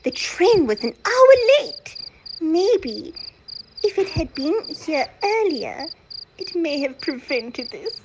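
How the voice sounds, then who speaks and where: A woman's voice: sits high, very animated, slow, full character voice. A woman playing a melodramatic, outwardly helpful murder suspect in an amateur radio mystery, with a theatrical, hesitant delivery. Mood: composed, regretful. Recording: noisy home phone voice message.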